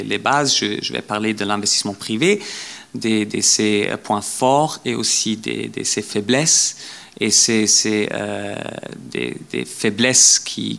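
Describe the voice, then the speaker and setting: A low, gravelly man's voice with a lot of creak in it. He lectures in a language that is not his native one, stumbling through repeated words and filler sounds as he works his way to each point. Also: fairly bright tone. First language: not French. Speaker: guest lecturer. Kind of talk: academic lecture.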